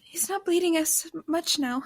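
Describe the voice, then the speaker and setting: An actress playing an earnest young woman of the 1870s in an audio drama, gentle and careful with formal wording. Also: very high voice, moderate pace. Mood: anxious, gentle. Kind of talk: scripted audio drama with first-person narration.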